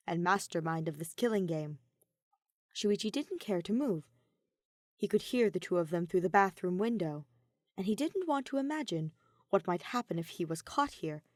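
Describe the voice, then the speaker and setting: A woman reading scripted fiction as an expressive audiobook narrator. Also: fairly low pitch, normal speed, no echo, studio quality. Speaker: podfic narrator.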